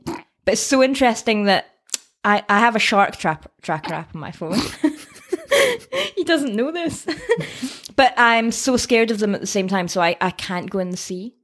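A woman in her twenties with a Scottish Borders accent, co-hosting a casual podcast chat in a playful, teasing way. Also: mid-range voice. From Scotland. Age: 20s. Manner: playful, teasing, quick to laugh. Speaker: co-host.

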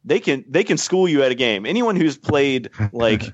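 A man's voice: medium pitch, very animated, normal speed, dry recording. A man explaining game design at length as a podcast guest, thoughtful and full of small fillers. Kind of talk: casual podcast chat. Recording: dull, dry podcast audio.

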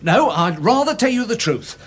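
A man's voice: high voice, very animated, normal speed, slightly rough, full character voice. An actor playing a respectable, embarrassed little Englishman giving evidence in a radio drama, prim, hesitant and stammering. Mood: anxious, embarrassed.

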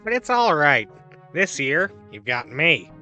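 A man's voice: on the high side, big pitch swings, a little slow, sharp tone, faint music behind, exaggerated voice. A man playing a theatrical, flippant advice host in a scripted comedy monologue, with an arch, wry delivery. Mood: breezy, wry.

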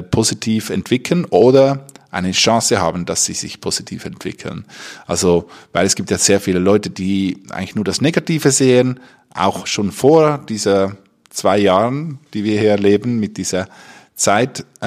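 A man hosting a podcast, speaking casually and off the cuff, haltingly searching for words. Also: lowish voice. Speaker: podcast host and interviewer. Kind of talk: casual unscripted podcast talk.

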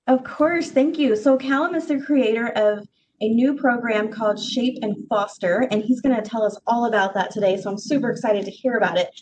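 A woman's voice: on the high side, a little fast, a little mellow, slight background noise, low-quality recording. A woman hosting a podcast, upbeat and enthusiastic, chatting casually with her guest and laughing often. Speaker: podcast host. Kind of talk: casual interview chat.

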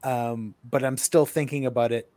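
A man's voice: mid-range voice, steady tone, normal speed, dark tone, dry recording. A man in a casual chat, talking as an author among fellow writers. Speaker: published author on a panel.

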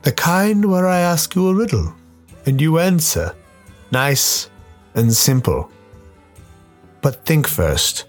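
A man playing a sly, towering demonic gardener in a radio play, courteously menacing, teasing and unhurried. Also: fairly high pitch, sing-song, pitched above the performer's own voice, full character voice. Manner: unhurried, teasing, mock-polite. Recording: music behind the voice.

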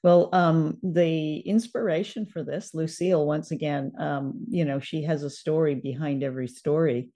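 A woman's voice: sits low, fairly even intonation, moderate pace, hardly any pauses, mellow. An older woman hosting a show, chatting casually about a radio drama. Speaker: podcast host.

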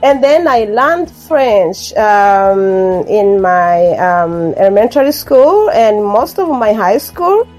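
A woman's voice: mid-range voice, sing-song, slow, hardly any pauses, a little mellow, rough recording. Woman, earnest, repetitive phrasing, light music behind. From Rwanda. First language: Kinyarwanda. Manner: earnest, repeats phrases, frequent ums. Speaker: guest author. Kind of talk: interview conversation.

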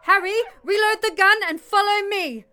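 A woman's voice: fairly high pitch, a little slow, darkish tone. A woman playing a brave, protective frontier mother directing her young son in a dramatised story, urgent and emphatic. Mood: frantic yelling.

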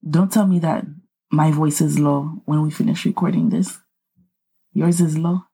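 A woman's voice: deep voice, fairly even intonation, normal speed, mellow, husky. A young woman co-hosting a podcast in casual chat, playful and teasing, laughing often. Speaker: podcast co-host.

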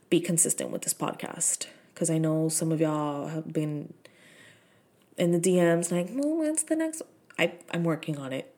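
A woman's voice: low-pitched, warm tone. Chatty, swearing woman in her thirties. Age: 30s. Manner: chatty, frank and profane, with many fillers. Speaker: podcast host. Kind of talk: casual solo podcast chat.

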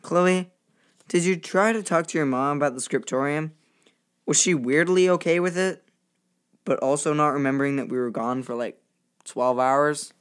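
Nerdy schoolboy voiced by an actor, mid-pitched voice, pedantic, measured, matter-of-fact, children's audio drama. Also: clean tone, natural voice. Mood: puzzled. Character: bookish, precise, nerdy boy with glasses.

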